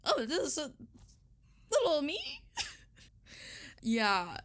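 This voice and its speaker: A woman's voice: sits high, wildly swooping pitch, slow pace, fairly bright tone. A woman speaking casually as an illustrator in an interview, with a giggly, enthusiastic and self-deprecating way of talking.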